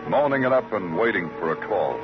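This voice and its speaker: World-weary police detective, lowish pitch, clipped hard-boiled delivery, old radio drama, band-limited recording. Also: male voice, very animated, fairly quick. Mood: somber, hard-boiled. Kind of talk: old-time radio crime drama narration and acting. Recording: muffled vintage radio recording. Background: music.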